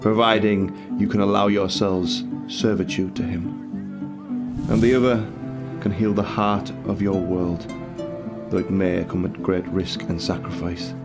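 A man's voice: deep voice, steady tone, measured pace. A man playing a mysterious hooded sage in a fantasy audio drama, with a formal, portentous manner. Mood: grave, cryptic. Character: mysterious hooded human sage, cryptic and knowing. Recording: music under the voice.

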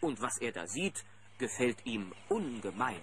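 A man narrating a children's audio drama in a lively storytelling style. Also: medium pitch, measured pace, a little hiss or hum. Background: loud music.

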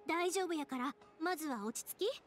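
Perky kind young game heroine, kansai accent, high voice goes all over. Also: female voice, expressive, soft background music. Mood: reassuring. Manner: brisk, reassuring, informal. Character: spirited, kind-hearted young woman in red kimono. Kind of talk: Japanese anime game voice acting.

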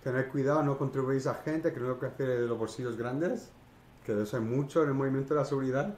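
A middle-aged man from Spain with a Peninsular Spanish accent, co-hosting a casual podcast from home in a brisk, colloquial, emphatic way. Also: mid-range voice, a little mellow, a little hiss or hum. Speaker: podcast co-host. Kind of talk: casual chat. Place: small home room, indoors. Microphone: headset. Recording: roomy echo.

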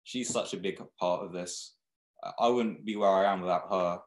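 A young man who founded a clothing brand, talking in a relaxed, rambling way with many restarts on a video call from a small room. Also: low voice, moderate pace. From Thailand, raised in England. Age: young adult. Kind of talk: casual video-call interview. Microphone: webcam video call. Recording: echoey room.

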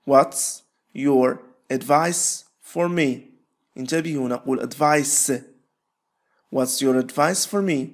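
A man teaching English, repeating short phrases slowly and switching to quick explanations. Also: mid-range voice. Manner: repeats phrases slowly, stretching words apart; explains rapidly between drills. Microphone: voice-over.

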